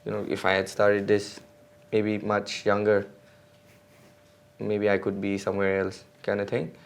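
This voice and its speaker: A man's voice: on the low side, completely flat delivery, measured pace. A man, a guest on a podcast, talking casually and hesitantly with many fillers and restarts. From India. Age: 20s. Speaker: podcast guest, mountain bike racer.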